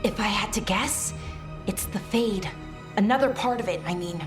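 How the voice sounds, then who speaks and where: A woman's voice: mid-range voice, moderate pace, music in the background, close to an everyday voice. A woman playing a thoughtful, curious scholar mage in a fantasy video game, musing and a little hesitant.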